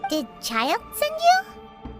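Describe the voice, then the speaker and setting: A woman voicing a small, perky girl companion in an anime game, with a squeaky, chirpy, expressive delivery. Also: drawn-out words, heavily acted. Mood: surprised. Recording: loud music behind the voice.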